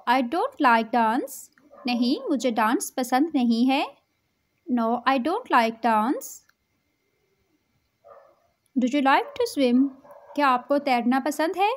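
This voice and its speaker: A woman leading an English practice drill, saying each English sentence slowly, then giving its translation. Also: high voice, animated. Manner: English spoken very slowly, Urdu lines quick. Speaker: English teacher.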